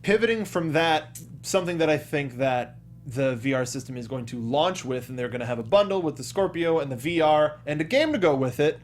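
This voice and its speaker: Higher-pitched man, animated podcast co-host. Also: a little fast. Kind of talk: casual panel discussion about video games.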